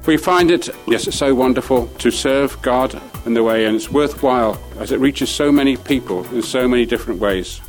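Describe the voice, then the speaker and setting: A man, a ship's captain, giving an earnest, gracious speech at an opening ceremony. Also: medium pitch.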